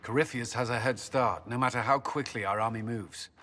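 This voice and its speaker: A man plays a pragmatic, serious army commander in a fantasy video game. His mid-range voice is stern and direct, with soft music underneath. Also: mid-range voice, a little fast, natural voice. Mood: concerned, stern. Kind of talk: dramatic fantasy game dialogue.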